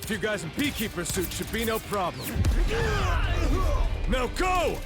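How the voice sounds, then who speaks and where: A heroic soldier in a video game, earnest and emphatic. His raised, lively voice pushes over loud music and gunfire. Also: sits high, a little slow. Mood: urgent resolve. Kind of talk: video game cutscene acting.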